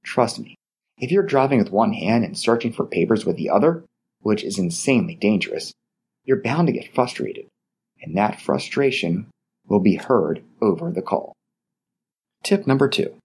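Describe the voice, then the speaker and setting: A middle-aged man hosting a scripted etiquette advice podcast, speaking in a polite, measured way. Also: lowish voice, a little hiss or hum. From Baltimore, Maryland area. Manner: polite, measured, lively delivery. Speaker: podcast host.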